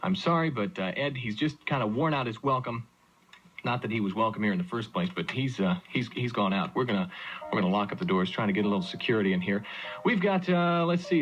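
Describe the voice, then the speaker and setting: A young American man hosts on radio in a mid-pitched voice. His delivery is casual announcing and banter. The recording is dull and lo-fi, like an old aircheck tape. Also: slight background noise. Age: young adult. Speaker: radio disc jockey.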